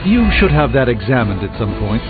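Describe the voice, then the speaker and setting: A man's voice: medium pitch, sing-song, fairly quick, lightly acted. A man voicing a solemn, erudite sorcerer superhero in a video game, with formal, theatrical diction. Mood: commanding. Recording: lo-fi game audio under loud music.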